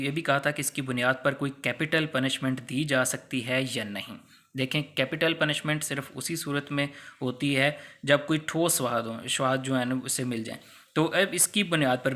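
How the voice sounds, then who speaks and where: A man's voice: mid-range voice, bright, forceful tone. A man with a Pakistani accent explaining points didactically as a law lecturer, often rephrasing them for exam students.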